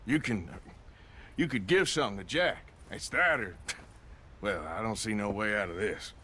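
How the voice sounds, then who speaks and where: Western game outlaw: weary, protective, folksy American drawl, low pitch with a hard edge. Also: male voice, moderate pace, pushed and bright, a little hiss or hum. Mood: earnest, resigned. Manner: folksy, grave, plain-spoken. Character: weary middle-aged male Western outlaw, resigned, protective. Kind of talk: dramatic video game acting.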